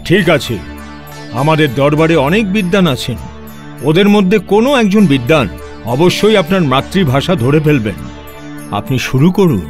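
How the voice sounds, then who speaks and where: A man as a lively, regal cartoon king. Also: on the high side, moderate pace, natural voice. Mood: commanding. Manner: regal, declarative. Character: dignified adult male king, gracious and commanding. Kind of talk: theatrical cartoon dubbing. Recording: faint background music.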